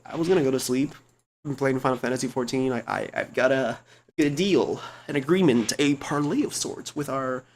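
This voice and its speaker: A young man streamer with a low speaking voice, talking in a rambling, slangy way full of restarts and fillers, close to a desk mic at home. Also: slight background noise. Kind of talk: casual chat. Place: home computer room.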